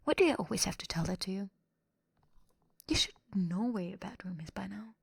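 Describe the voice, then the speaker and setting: Breathy whispering woman with creaky edges. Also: medium pitch, expressive, a little fast, no echo, clean studio sound. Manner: rambling, improvised teen-slang delivery. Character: quirky anime girl telling a clumsy bedtime story. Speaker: ASMR roleplay performer and streamer. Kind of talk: whispered ASMR bedtime storytelling roleplay.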